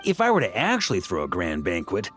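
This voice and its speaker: A man's voice: low-pitched, wildly swooping pitch, fast talker, a bit bright, a little hiss or hum, heavily acted. A man voicing a jovial, hard-drinking veteran knight commander in a video game, easygoing and boastful in conversation. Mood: playful, musing. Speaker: video game character voice actor. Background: game music.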